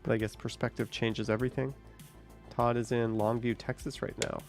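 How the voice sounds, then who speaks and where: A man's voice: mid-range voice, level tone, normal speed, faint music behind. A man hosting a live stream, chatting casually, thinking aloud and swearing now and then.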